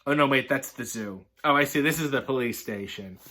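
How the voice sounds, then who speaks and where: Bright-voiced man, wryly reading aloud. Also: mid-range voice, brisk. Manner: sardonic, deadpan asides. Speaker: storyteller reading a children's picture book. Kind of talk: storybook reading with wry comic asides.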